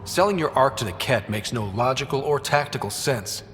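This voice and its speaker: An actor playing a wry, decisive human explorer and leader in a video game. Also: male voice, medium pitch, lively intonation, moderate pace, fairly bright tone, big-room sound, faint music behind, close to an everyday voice. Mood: sardonic. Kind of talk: dramatic video game dialogue.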